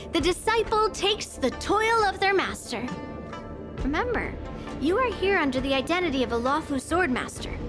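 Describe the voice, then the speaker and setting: A woman voicing a confident, teasing young female sword master in an anime-style video game, speaking in a slightly formal way. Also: high voice. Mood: assured. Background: music.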